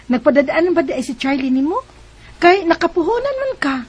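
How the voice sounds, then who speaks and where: An actress playing a breezy, impatient young best friend in a Bisaya radio drama, chatty and playful, with a Cebuano accent. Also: female voice, extremely high pitch, sing-song, a little fast. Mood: playful, caring.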